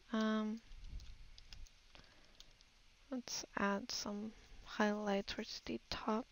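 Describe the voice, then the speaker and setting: A woman's voice: mid-range voice, poor audio. A woman giving an unscripted art tutorial voice-over, musing hesitantly as she thinks aloud while she works. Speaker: digital artist giving a tutorial. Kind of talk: unscripted voice-over of a painting process.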